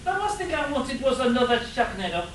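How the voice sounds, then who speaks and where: A learned scientist professor acted by a man in a comic radio play. He explains with animated intonation. The sound is very echoey and distant from the mic. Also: very high voice, brisk, a little hiss or hum, heavily acted. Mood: wistful. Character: learned scientist professor, matter-of-fact, slightly wistful.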